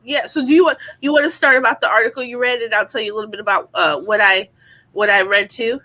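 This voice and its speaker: Woman attorney co-host, stuffy from a cold, slightly high pitch, casual hesitant delivery. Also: fast talker, sharp tone, low-quality recording. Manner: casual, hesitant, with many fillers. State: congested from a cold. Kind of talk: live online talk show.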